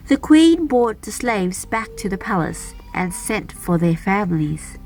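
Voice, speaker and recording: A woman narrating a children's fairy tale aloud as a storyteller, in an expressive, deliberate manner. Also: medium pitch, soft background music, poor audio. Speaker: storyteller and channel host.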